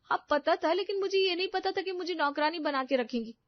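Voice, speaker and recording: A woman's voice: extremely high pitch, fairly quick, dry recording, lo-fi sound, voice pushed up. A woman voicing an overworked, aggrieved young daughter-in-law, plaintive and protesting, in a family drama story.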